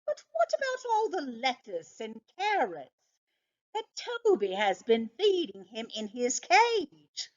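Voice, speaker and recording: A woman's voice: sits high, wildly swooping pitch, slow, muffled recording, voice pushed up, exaggerated voice. A woman voicing a naive, good-natured male family dog in a storybook, earnest and full of baffled questions and protests. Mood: puzzled.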